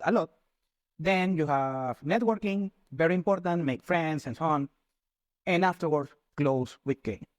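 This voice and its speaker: A man's voice: a little high, darkish tone, no room sound. A man, hosting and explaining his views in a casual, lecture-like way with frequent restarts. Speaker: channel host. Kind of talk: casual talk to camera.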